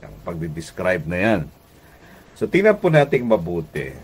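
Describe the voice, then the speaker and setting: A Filipino man preaching a radio devotional as pastor and host, deliberate in delivery, with pauses and emphatic repetition. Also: deep voice, wildly swooping pitch, husky.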